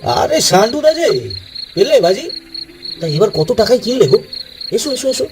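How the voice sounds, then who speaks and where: Man acting a high-voiced, animated nervy tea seller. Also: normal speed, background noise. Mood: friendly, placating. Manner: chatty, placating, easily flustered. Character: friendly, eager-to-please, nervous male village tea seller.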